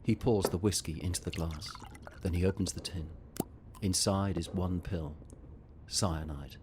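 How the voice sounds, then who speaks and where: A man narrating a scripted audio drama in a measured, descriptive way. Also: sits low, noisy background.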